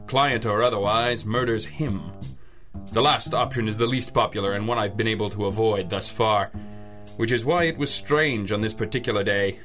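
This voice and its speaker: A man acting as a hard-boiled detective with a low, forceful, cutting voice. He is weary and sardonic, tossing off wisecracks deadpan in the manner of an old-time radio drama. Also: natural voice.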